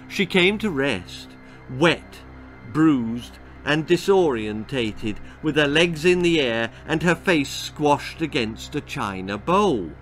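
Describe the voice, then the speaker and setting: A man's voice: on the high side, expressive, slow, soft background music. A man reading a children's horror-comedy story in a theatrical, precise storytelling style. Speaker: author reading his own story.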